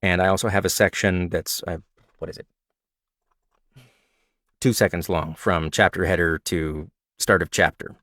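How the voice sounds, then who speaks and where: Male narrator, slightly raspy and low-pitched, relaxed chatty delivery, dry close recording. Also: moderate pace, long pauses, dry recording. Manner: relaxed, chatty, self-described nerd with frequent fillers. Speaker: audiobook narrator sharing tips. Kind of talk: casual Q&A livestream talk.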